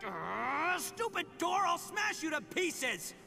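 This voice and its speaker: An actor playing a brash, hot-blooded young gang boss in a video game, boisterous and blustering. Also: male voice, extremely high pitch, big pitch swings, slow, vocal fry, music in the background, exaggerated voice. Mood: angry.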